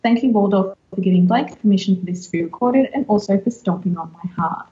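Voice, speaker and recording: Female podcast host, wry and casual, mid-to-higher everyday voice, slightly muffled lo-fi recording. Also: quick pace, warm tone. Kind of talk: casual podcast chat, giving recommendations.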